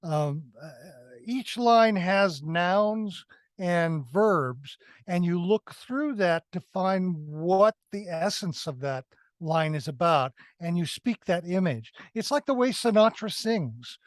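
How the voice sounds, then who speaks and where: A man with a fairly high voice speaks as a co-host in a casual review discussion. He explains things in a halting way, restarting and correcting himself. The sound is very dry and close, with no room echo. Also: expressive. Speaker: podcast co-host reviewing films.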